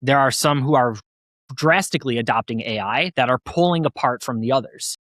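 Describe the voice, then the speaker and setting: A man's voice: mid-range voice, brisk, a bit bright, dry recording, clean studio sound. A man hosting a podcast, talking conversationally as he shares views and puts questions to a guest. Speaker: podcast host. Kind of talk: conversational interviewing.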